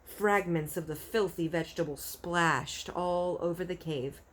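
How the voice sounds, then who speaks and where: A woman reading a children's book aloud as an expressive storyteller. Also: lowish voice, unhurried.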